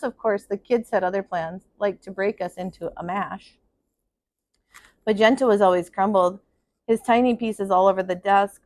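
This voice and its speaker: A woman reading a children's picture book aloud in an expressive kindergarten teacher's style. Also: a little high, no echo. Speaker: kindergarten teacher, storybook reader.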